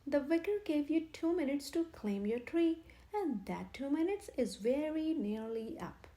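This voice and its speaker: A meek, worried friend, voiced by a woman reader, speaks in an anxious, eager way. The voice is high and soft, with a mellow tone. He belongs to a children's storybook read aloud. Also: expressive, a little slow.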